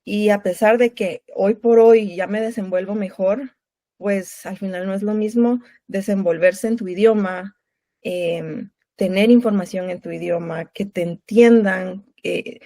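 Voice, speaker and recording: A woman's voice: mid-range voice, steady tone, a little slow, dark tone, dry recording. A woman in her thirties with a Guatemalan Spanish accent speaks as an interview guest, a lactation consultant sharing her own story. Her mid-range voice sounds close and dry. She chats personally and keeps checking in with little tag questions. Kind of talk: conversational interview.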